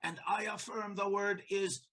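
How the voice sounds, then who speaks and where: An actor playing a scheming, vengeful doctor in an audio play, sounding defensive and self-righteous. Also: male voice, sits high, fairly even intonation, unhurried, a bit bright, a little hiss or hum.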